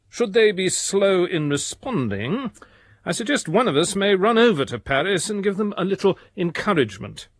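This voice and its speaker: An actor playing an earnest, methodical English detective voicing a letter in a radio drama, precise and businesslike. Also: male voice, high-pitched, dry recording, clean studio sound.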